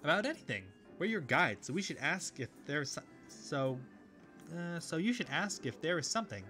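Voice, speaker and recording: A man's voice: a little high, sing-song, normal speed, pushed and bright, faint music behind, pitched above the performer's own voice. A man voicing a playful, girlish schoolgirl who is chatty and trips over her words. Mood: eager.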